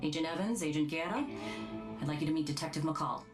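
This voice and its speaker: A woman's voice: sits low, quick pace, over music, close to an everyday voice. A woman playing an authoritative, formal American task force chief in a video game briefing. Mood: brisk, formal. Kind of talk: video game cutscene acting.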